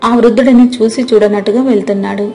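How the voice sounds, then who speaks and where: A woman with a mellow, medium-pitched voice narrates a scripted moral tale. Faint music plays beneath her storytelling. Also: fairly even intonation, quick pace. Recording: music behind the voice.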